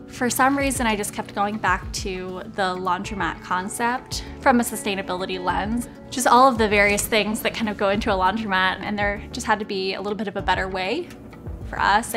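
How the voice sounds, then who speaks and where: A woman small business owner speaking in a conversational, earnest way, as in a documentary interview voice-over. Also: medium pitch. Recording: music bed behind the voice. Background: background music.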